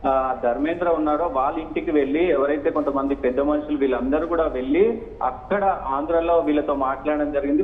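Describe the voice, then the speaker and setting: Echoey, phone-like male news reporter. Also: on the high side. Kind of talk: unscripted live news analysis. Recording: echoey hall, muffled phone-like line.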